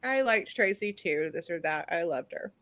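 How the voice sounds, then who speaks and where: A woman hosting a live stream recap, excitable, cutting in to steer the talk back on topic. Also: lowish voice, moderate pace.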